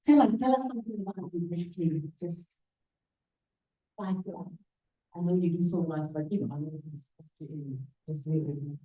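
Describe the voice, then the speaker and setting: A woman's voice: lowish voice, normal speed, dark tone, poor audio. A woman hosting an awards event over a video call, effusive and emphatic, with a voice she is losing. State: losing her voice. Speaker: event host.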